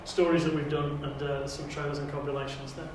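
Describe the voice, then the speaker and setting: A man's voice: on the high side, level tone, a little fast. A man talking casually in an interview as an audition contestant. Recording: very echoey room, distant mic, faint noise.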